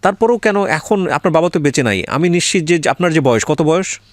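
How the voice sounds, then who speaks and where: Male interview host, Bangladeshi accent, very animated intonation, mid-pitched, close dry studio sound. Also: fairly quick, no room sound. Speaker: host of a life-story interview show. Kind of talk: conversational interviewing, addressing guests and audience.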